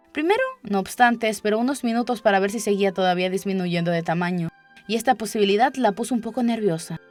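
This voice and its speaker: Casting: female audiobook narrator for a children's tale, mid-pitched voice, clear music bed underneath. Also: darkish tone. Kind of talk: scripted children's story narration. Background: background music.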